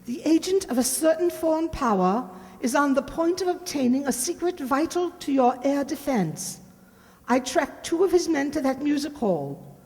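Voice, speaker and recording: A high-pitched woman's voice rings out in a long, stagey reverb. An actress plays a secretive lady spy in an old-time radio drama, frightened and urgent, pleading breathlessly with theatrical intensity. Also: lively intonation, normal speed, a little mellow. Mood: grave, secretive.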